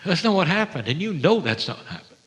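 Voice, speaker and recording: A man giving a spiritual sermon to an audience, speaking gently and reverently with deliberate, dramatic pauses. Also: high-pitched, big pitch swings. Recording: long hall reverb.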